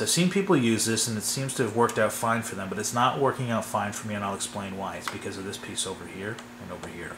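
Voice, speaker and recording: A man's voice: fairly low pitch, reverberant, noisy background. A man giving a casual hands-on tutorial at a workbench, rambling and often checking in with "okay?". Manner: relaxed, rambling, frequent "okay?" check-ins. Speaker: hobby model builder. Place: workbench in a home workshop.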